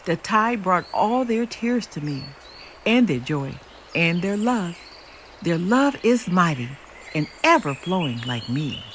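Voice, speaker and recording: A woman's voice: on the low side, very animated, slow, a little hiss or hum. An older woman voicing a mighty, ancient river in a storybook, grumpy yet indulgent, with solemn, weighty delivery. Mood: solemn, wistful.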